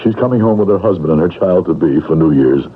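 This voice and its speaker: An actor playing an earnest, reformed wealthy gentleman of mature years in an old-time radio drama, speaking formally. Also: low voice, lively intonation, brisk. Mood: warm, earnest. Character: reformed, anxious wealthy older gentleman, newly kind. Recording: tinny, noisy old radio recording.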